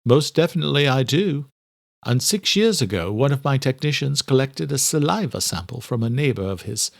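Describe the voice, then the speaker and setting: A man voicing an elderly scholarly doctor in an audiobook, courteous and direct, with formal, deliberate, old-fashioned phrasing. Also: medium pitch, lively intonation, gravelly, no room sound, clean studio sound, pitched above the performer's own voice. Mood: earnest, regretful. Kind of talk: audiobook character dialogue.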